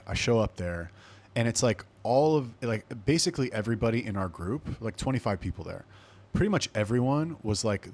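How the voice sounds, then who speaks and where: A young man with a fairly low voice talks on his podcast. He rambles casually through a personal story, swearing often and wandering into side remarks. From Los Angeles, USA. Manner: profane, rambling, digressive. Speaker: podcast host.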